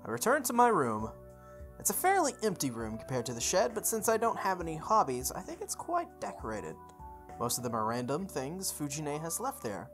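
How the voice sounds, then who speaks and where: A woman's voice: deep voice, sing-song, moderate pace, faint music behind. A woman reading visual novel narration aloud, with casual, chatty asides.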